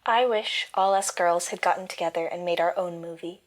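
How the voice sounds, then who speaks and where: A woman's voice: lowish voice, fairly even intonation, fairly quick, natural voice. Earnest, plucky young girl, acted by a woman. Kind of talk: audio drama acting.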